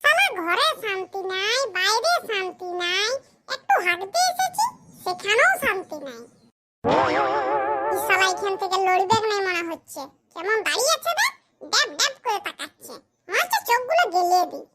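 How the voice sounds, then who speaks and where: A performer voicing a crude, self-pitying village boy who grumbles in rustic slang in a comedy cartoon, with a pitched-up voice. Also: big pitch swings, moderate pace, heavy background noise. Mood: irritated. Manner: muttering complaints in rustic slang.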